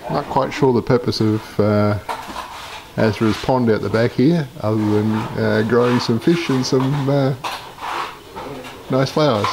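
A man giving a casual, hesitant, unhurried walkaround commentary as a vlogger. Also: medium pitch. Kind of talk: casual narrated site walkaround. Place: building site, outdoors and inside bare concrete rooms. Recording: loud construction site noise, rasping and knocking.

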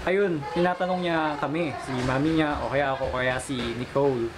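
A man's voice: a little high, steady tone, a little fast, very noisy. A man in his thirties with a Filipino accent, chatting casually as a father hosting a family vlog. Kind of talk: casual family vlog chat, mixing Tagalog and English. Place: small furnished living room. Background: background music.

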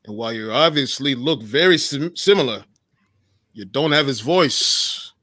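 A man's voice: medium pitch, animated, measured pace, sharp tone, no room sound, studio quality. A man acting a suave, suspicious scoundrel in a comic table read. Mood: skeptical. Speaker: actor in live script table read. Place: home room, video call. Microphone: desk microphone, close.

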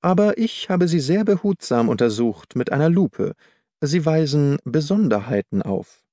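A man's voice: on the high side, big pitch swings, moderate pace, dark tone, no echo, studio quality. A man voicing a shrewd, methodical detective in an audiobook, reasoning in a precise, self-assured way.

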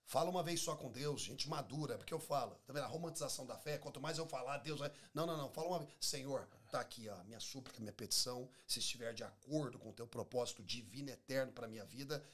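A Brazilian pastor in his forties speaks as a studio guest, in a medium-pitched, somewhat husky male voice. He preaches conversationally and emphatically, repeating key phrases and voicing little dialogues. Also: quick pace, few pauses, fairly bright tone. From Minas Gerais, Brazil. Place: small podcast studio. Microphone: close studio microphone on table stand.

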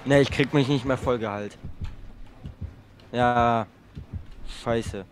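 A man's voice: mid-range voice, steady tone, slow pace, soft background music. A young man playing a horror game with a friend, chatting casually and swearing, yelping when he gets chased. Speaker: gamer co-op player. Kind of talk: casual let's play chat.

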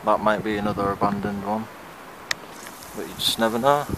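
Male urban-explorer vlogger, lowish voice, casual commentary, heavy outdoor wind noise on camera mic. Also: fairly even intonation, fairly quick. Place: outdoors among derelict brick buildings and lanes. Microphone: handheld camera microphone. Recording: very noisy windy outdoor camera recording.

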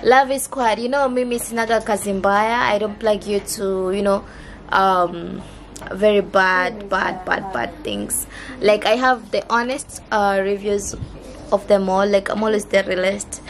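A young woman from Kenya with a Kenyan accent, chatting to camera in a casual vlog, hoarse with a sore throat and switching between English and Swahili. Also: mid-range voice, a little slow. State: hoarse, losing her voice. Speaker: vlogger. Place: indoors. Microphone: camera mic held close.

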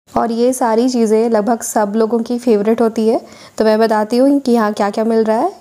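A woman's voice: a little high, dark tone. A woman vlogger narrating a voice-over tour of a weekly market, listing goods and prices for her viewers.

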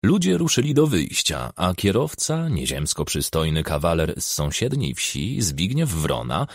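A man narrates an audiobook in a gravelly, husky voice on the low side. His pitch moves dramatically as he reads the story, and the sound is dry and close. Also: big pitch swings, measured pace, dry recording. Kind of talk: scripted fiction narration.

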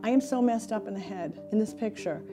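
A woman's voice: medium pitch, a little fast. Earnest woman over background music. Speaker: support group founder. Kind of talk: documentary interview.

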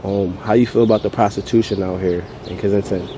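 Male interviewer, lowish mellow voice, informal street-interview delivery, light traffic noise behind. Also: fairly even intonation, quick pace. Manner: informal, echoes answers, frequent "yeah". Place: outdoors on a city street, off camera. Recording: outdoor street noise, passing vehicles.

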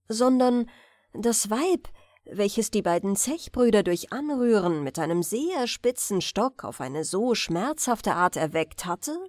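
Slow, animated woman reading fairy tales. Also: mid-range voice, dry recording, clean studio sound. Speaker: audiobook narrator. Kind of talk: expressive fairy-tale narration.

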